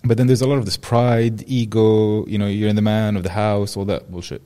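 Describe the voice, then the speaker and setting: A man's voice: fairly low pitch, level tone, normal speed, warm tone. A young man from Jordan hosting a podcast interview, talking in a casual, rambling way and swearing freely. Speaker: podcast host.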